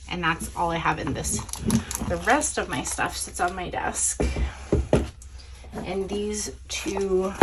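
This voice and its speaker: A hoarse woman recovering from illness, chatting casually as she shows her craft supplies at her desk, rambling with fillers and self-corrections. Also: lowish voice, very noisy. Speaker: scrapbooking crafter showing supplies. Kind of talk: casual show-and-tell chat.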